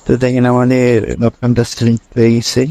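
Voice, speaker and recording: A man's voice: medium pitch, completely flat delivery, brisk, mellow. A man presenting a screen tutorial step by step, linking each instruction to the last in a repetitive way.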